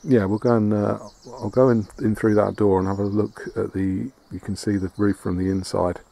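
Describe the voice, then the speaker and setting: A man giving a casual, relaxed walk-around tour, filming on a handheld camera outdoors and inside a barn, often pausing with fillers and restarts. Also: fairly low pitch, vocal fry, slight background noise. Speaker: vlogger restoring a farmhouse.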